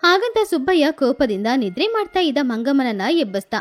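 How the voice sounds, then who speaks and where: A woman narrating a children's moral story in a plain, steady way, over faint music. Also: high-pitched, a little fast.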